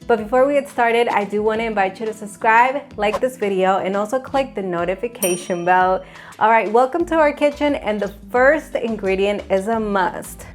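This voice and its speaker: A woman's voice: medium pitch, normal speed, faint music behind. A woman hosting a home cooking show, giving upbeat step-by-step instructions and laughing often. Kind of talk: cooking demonstration. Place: home kitchen.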